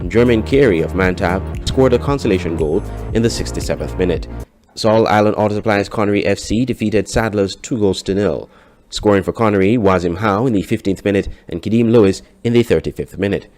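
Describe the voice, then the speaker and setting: A man's voice: lowish voice, brisk, darkish tone, faint music behind. A man with a Caribbean accent reading a scripted sports news report as a newsreader.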